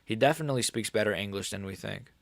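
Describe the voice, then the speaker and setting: Low-voiced young man chatting on livestream. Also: quick pace, gravelly, dry recording. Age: early 20s. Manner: stumbling, repeats himself, slangy and profane. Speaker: livestream host answering chat. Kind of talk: casual livestream chat about combat sports.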